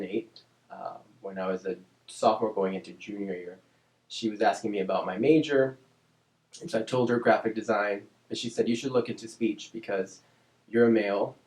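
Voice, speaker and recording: A man who grew up in Oakland, California, speaking from a deep, low register. His first language is Spanish, and he talks in a measured, reflective way, searching for words with ums and restarts. The recording sounds roomy, with a noticeable echo around him. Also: a little mellow. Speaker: interview guest, speech-language pathologist. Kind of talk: reflective casual interview.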